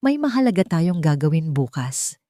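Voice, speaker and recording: Female narrator as a commanding young CEO, terse and businesslike, pitched low. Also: sing-song, moderate pace, mellow, no room sound, clean studio sound. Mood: curt. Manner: plain, direct, businesslike. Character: reserved young male rich CEO, cool and commanding.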